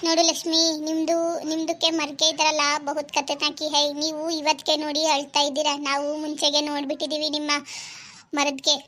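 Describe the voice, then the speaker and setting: A woman's voice: sits high, completely flat delivery, brisk, bright, forceful tone. A woman playing a blunt, cheeky neighbour woman who mixes Hindi words into broken Kannada.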